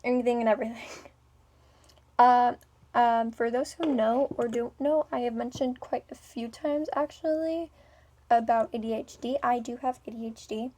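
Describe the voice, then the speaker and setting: A young woman with a speech impediment talks into her own vlog, her voice sitting a little high. She rambles, stops and starts again, and fills the gaps with ums. Her tone moves between smooth and businesslike and something more playful. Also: normal speed, warm tone. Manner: hesitant, many ums and restarts. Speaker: vlogger.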